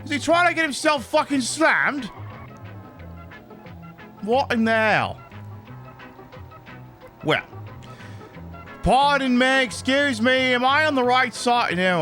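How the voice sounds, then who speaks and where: A man's voice: high voice, sing-song, measured pace, long pauses, sharp tone, voice pushed up, exaggerated voice. A man streaming a video game in a put-on British accent, voicing a cheeky, foul-mouthed, exasperated bloke who rambles through live commentary with mock indignation. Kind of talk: improvised live gaming commentary. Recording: music behind the voice. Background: game music.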